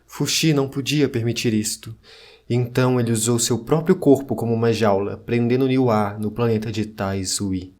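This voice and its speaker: A man plays a solemn keeper of ancient legends in an audio drama. He recites tales of creator gods in plain declarative lines, at a middling pitch. The delivery is scripted and grave, like scripture being handed down. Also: moderate pace, a little mellow, natural voice. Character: ancient myth teller recounting serpent and dragon creators. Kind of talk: scripted mythic narration.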